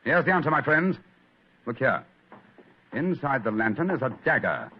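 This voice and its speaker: An actor playing a shrewd, commanding British gentleman detective who explains things with brisk authority in old-fashioned radio drama style. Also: mid-range voice, sing-song, husky. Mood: brisk, analytical. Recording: muffled old radio recording, faint noise.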